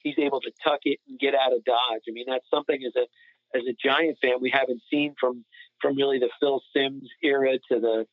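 A man's voice: medium pitch, fairly even intonation, a little fast, dry recording. A man talking casually about sports as a podcast co-host, over a phone-like connection.

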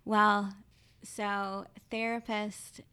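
A woman who is a social worker, talking casually in a podcast interview, halting often and laughing. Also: little pitch movement, bright, forceful tone, clear, resonant tone. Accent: Philadelphia. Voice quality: high-pitched by her own account. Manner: halting, frequent restarts and laughs.

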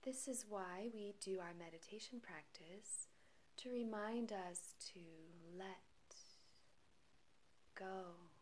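A woman guides a meditation at a very slow pace. Her medium-pitched voice is soft and breathy. She speaks gently and calmly, leaving long pauses between short phrases. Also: slight background noise. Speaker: meditation guide and podcast host.

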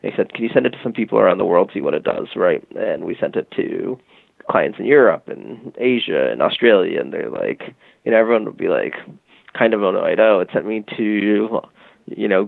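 A low, gravelly man's voice comes through a thin, phone-like line, the sound dry and close. He tells a long story loosely, padding it with "you know" and "um" as he goes. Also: moderate pace, no echo. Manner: rambling, many fillers and "you know". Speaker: guest, tech company executive. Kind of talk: casual storytelling interview.

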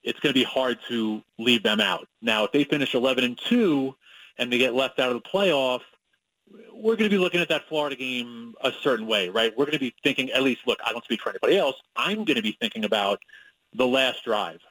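A man's voice: mid-range voice, a little fast, a bit bright, no room sound, rough recording. A man from Long Island with a New York accent, a sportswriter guest talking casually and analytically on a radio interview. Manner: conversational, with many fillers and restarts, analytical.

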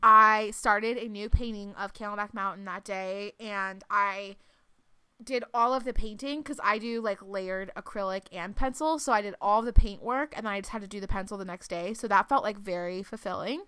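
A young woman in her twenties chats casually on a podcast, leaning on fillers as she talks. Her voice is bright and crisp, a little congested, and recorded dry and close with no room sound. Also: mid-range voice, moderate pace, dry recording. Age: 20s. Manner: chatty, lots of fillers. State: slightly congested. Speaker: podcast co-host. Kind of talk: casual chat.

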